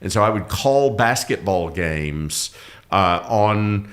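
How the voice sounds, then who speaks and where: A very low, booming voice from a middle-aged man, a pastor who chats casually about ministry. He rambles a little, circles back, and restarts sentences as he talks. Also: animated, slow. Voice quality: loud, carrying voice. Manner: rambling with frequent restarts, laughs easily.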